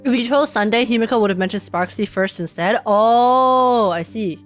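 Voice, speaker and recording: A woman's voice: fairly high pitch, normal speed, soft background music. A woman gaming streamer giving casual reaction commentary, slangy and thinking aloud.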